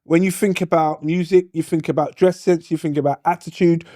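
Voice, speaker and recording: A man speaking in a casual panel discussion, animated, acting out quoted voices and often asking "do you know what I mean". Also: on the high side, fairly quick, no room sound, studio quality. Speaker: podcast host.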